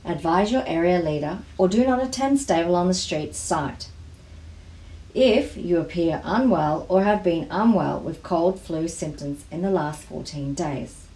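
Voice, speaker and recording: Lowish woman reading safety rules. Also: dark tone. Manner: measured, list-by-list reading delivery. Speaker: volunteer safety induction presenter. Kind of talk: reading safety slides aloud. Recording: roomy, echoey voice-over.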